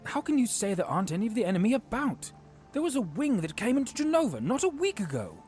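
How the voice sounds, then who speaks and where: Hero knight, played by a man: young, earnest, fairly high voice with lively intonation, fantasy audio drama. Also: fast talker, faint music behind. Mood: arguing, puzzled. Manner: earnest, questioning.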